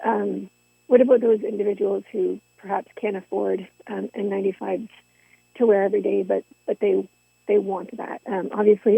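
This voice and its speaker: A hoarse woman with laryngitis, a school trustee asking polite, hesitant questions at a meeting. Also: medium pitch, dry recording. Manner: hesitant, polite, many ums. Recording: tinny phone-line audio.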